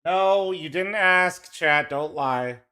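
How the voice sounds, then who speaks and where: A man's voice: a little high, slow, bright, forceful tone, smooth. Profane, teasing male streamer. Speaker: streamer co-streaming an esports match. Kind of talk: casual livestream chat.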